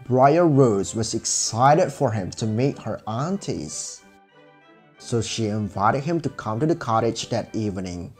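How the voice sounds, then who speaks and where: A man's voice: fairly low pitch, expressive, unhurried, soft background music. A man narrating a scripted fairy tale for children as a storyteller.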